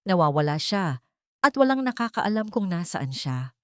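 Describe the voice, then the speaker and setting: A woman voicing an anxious male lawyer in an audio drama, hushed and grave. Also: on the low side, very animated, moderate pace, no room sound, studio quality. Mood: grave worry. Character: anxious lawyer bringing grave news to his client.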